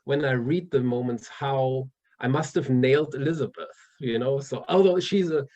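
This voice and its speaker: A man's voice: mid-range voice, normal speed, dark tone, highs cut off. A man with a German accent, an author chatting casually in an interview, often restarting his sentences with fillers. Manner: hesitant, many restarts and fillers, laughs often. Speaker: author guest.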